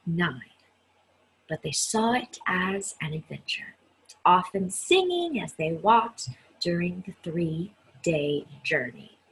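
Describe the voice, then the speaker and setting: A woman reads a children's story aloud at an unhurried pace. Her voice is very breathy and husky, with lively, swooping intonation. She speaks straight to her young listeners in an expressive storytelling manner. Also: fairly low pitch, rough recording. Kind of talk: read-aloud storytelling with personal asides.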